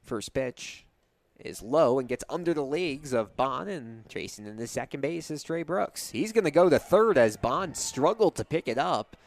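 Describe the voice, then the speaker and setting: A man's voice: medium pitch, animated, moderate pace. A young man doing live radio play-by-play commentary of a baseball game as the announcer. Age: young adult.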